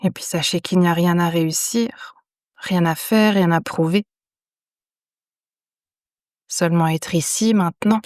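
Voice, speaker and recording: A woman guiding a yoga nidra meditation in a soothing, unhurried manner, leaving long pauses between phrases. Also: lowish voice, steady tone, a little mellow, dry recording, clean studio sound. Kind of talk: guided meditation.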